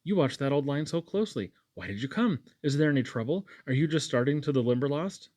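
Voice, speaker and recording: A man's voice: on the high side, expressive, fast talker, darkish tone. A man voicing an eager, sweet, chatty young woman in a storybook, with gushing exclamations. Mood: eager, concerned.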